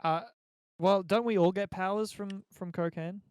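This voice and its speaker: A man's voice: high voice, steady tone, moderate pace, darkish tone, smooth, no echo, clean studio sound. A man chatting casually on a podcast, introducing topics to his friends. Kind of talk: casual chat.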